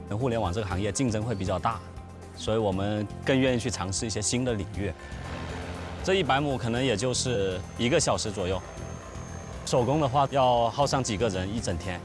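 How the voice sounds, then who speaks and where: Matter-of-fact drone company founder. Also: male voice, on the low side. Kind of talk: interview. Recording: music bed under the voice.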